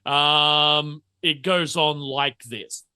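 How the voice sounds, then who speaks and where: A man running a tabletop roleplaying game as game master, narrating off the cuff and often halting to think mid-sentence. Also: fairly high pitch, fairly even intonation, bright, forceful tone, smooth, dry recording.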